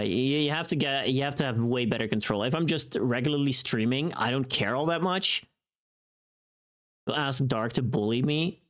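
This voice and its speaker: Tired-voiced livestreamer, medium pitch. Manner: rambling, informal, mildly sweary. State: tired voice from long recording. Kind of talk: casual livestream chat.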